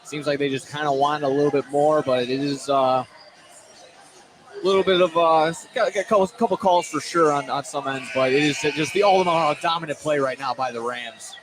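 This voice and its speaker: A man's voice: fairly high pitch, a little fast. A man giving color analysis on a live basketball broadcast in a conversational, slightly rambling way. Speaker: color commentator. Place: indoor basketball gym. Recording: noisy live gym broadcast.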